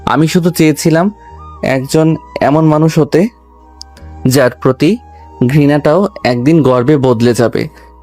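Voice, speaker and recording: An actor playing a proud, resolute young husband and son in a dramatized audio story, earnest and measured. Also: male voice, on the high side, mellow, close to an everyday voice. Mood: quiet resolve. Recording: background music under the voice.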